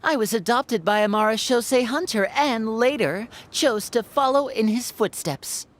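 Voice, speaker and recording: A woman's voice: fairly high pitch, expressive, moderate pace, natural voice. A woman playing an easygoing young wandering hunter with a few less-than-legal tricks, in video game voice acting.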